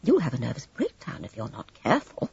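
A woman voicing a smooth, cold, quietly resolute woman in a dramatised storybook reading. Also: on the low side, big pitch swings, full character voice. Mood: cool, resolute. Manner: composed, cool, measured, polite. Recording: roomy, slightly dull audiobook recording.

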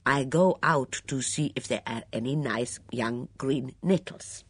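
A woman doing a shrill indignant foreign cook. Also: slightly rough, dry recording, exaggerated voice. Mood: aggrieved. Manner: emphatic, repeats words, halting grammar.

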